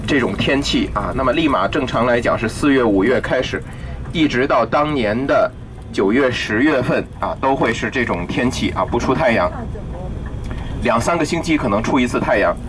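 A man's voice: mid-range voice, expressive, normal speed, a bit bright, a little hiss or hum, poor audio. A man giving explanatory tour commentary to a group as their guide, often punctuating his phrases with little filler sounds. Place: in a vehicle moving through city traffic.

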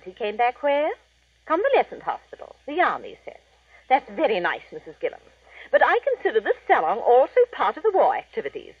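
A thin old radio recording of a pompous female salon owner. Her affected voice swoops widely, slightly husky, coolly condescending in vintage radio drama fashion. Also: fairly high pitch, no room sound, dull audio. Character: haughty, gushing female beauty salon owner. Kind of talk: 1940s radio acting.

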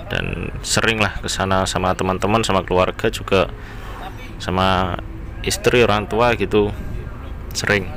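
A man's voice: low voice, a little slow, pauses often. A man with an East Javanese accent giving casual, conversational driving commentary to his viewers as a car vlogger. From Bojonegoro area, East Java, Indonesia. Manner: conversational, often addressing viewers as friends. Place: inside a moving car on town roads. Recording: steady vehicle and road noise. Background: car and traffic noise.

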